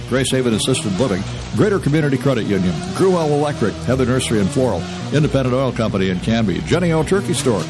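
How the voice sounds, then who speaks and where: A man reads a long sponsor list for a local radio broadcast in a steady, even rhythm. A clear music bed plays under his voice the whole time. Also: medium pitch. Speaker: radio announcer reading sponsors. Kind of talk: scripted sponsor read.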